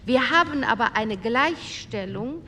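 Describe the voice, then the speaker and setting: An earnest conservative female politician of the late 1940s speaks in a fairly high voice, measured and formal. Long hall reverb surrounds her parliamentary speech, with some background noise. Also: animated, sharp tone. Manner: measured, matter-of-fact, formal.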